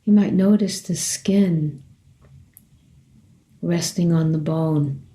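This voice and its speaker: A woman meditation teacher leading a guided body scan in a gentle, unhurried way, leaving long pauses between short phrases. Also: fairly low pitch, steady tone.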